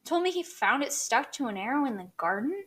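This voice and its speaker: A woman playing a casual, expressive mercenary of a castle guard in an audio drama, with an offhand manner. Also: sits high, brisk, a little hiss or hum.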